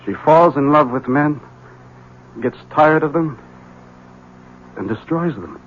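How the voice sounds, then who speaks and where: An actor playing a desperate, guilt-ridden man in a 1970s radio drama, speaking in intense, halting bursts. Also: a little high, lively intonation, a little hiss or hum. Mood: grim, urgent. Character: desperate, guilt-ridden man warning a rival about a witch. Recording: dull vintage radio recording.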